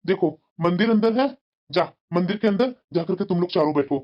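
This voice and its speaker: A woman's voice: fairly low pitch, brisk, natural voice. A woman voicing an irritable, scheming father in a family moral cartoon, grumbling and evasive. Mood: falsely reassuring. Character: scheming, irritable father who resents his daughters. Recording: dull, lo-fi cartoon voice track.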